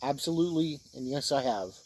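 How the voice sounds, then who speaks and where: A man's voice: mid-range voice, animated, a little fast, darkish tone. Plain-spoken man reviewing, noisy background. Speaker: reviewer of tabletop role-playing books, game master. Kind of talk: informal spoken product review.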